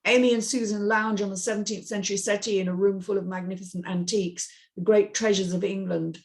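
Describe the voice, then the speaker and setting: A woman's voice: mid-range voice, fairly even intonation, fast, darkish tone. A woman narrating the action lines of a screenplay as host of a script reading.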